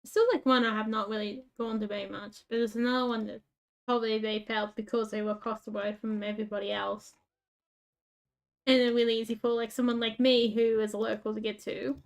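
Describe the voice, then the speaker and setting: Chatty rural Australian woman, higher voice. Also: little pitch movement, a little fast. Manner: rambling, filler-heavy, self-mocking. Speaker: gaming YouTuber. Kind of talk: casual gameplay chat.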